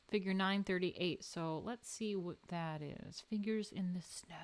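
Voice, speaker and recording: A woman with a New York accent reads an art history book aloud to a live audience and breaks off for wry personal asides. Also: fairly low pitch. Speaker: host reading an art history book to viewers. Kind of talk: casual livestream reading and commentary.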